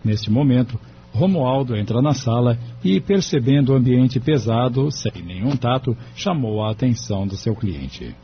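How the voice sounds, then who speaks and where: A man narrates an audio drama from a script in a medium-pitched voice. The recording is dull, with faint noise behind it. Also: lively intonation, normal speed.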